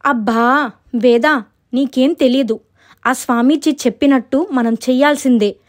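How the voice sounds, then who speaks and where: A woman's voice: high voice, measured pace, voice pushed up. A woman voicing a worried but resolute elder sister in a story, firm and concerned.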